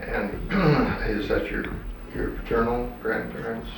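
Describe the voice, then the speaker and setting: A man interviewing for an oral history, hesitant with many pauses and restarts, on an old tape recording. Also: medium pitch, creaky. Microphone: tape recorder microphone. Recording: distant, echoey old tape recording with hiss.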